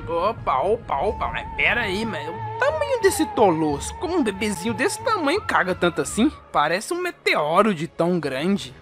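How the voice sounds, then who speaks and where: A performer voicing a brash, goofy, excitable young man in a comic anime parody dub. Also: mid-range voice, moderate pace, few pauses. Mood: shocked. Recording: music under the voice.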